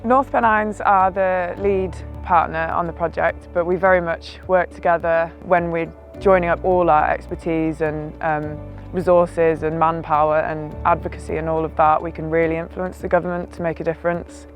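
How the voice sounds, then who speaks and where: A woman on a conservation project explaining its work clearly in a documentary. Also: lowish voice, flat delivery, moderate pace, hardly any pauses, faint music behind.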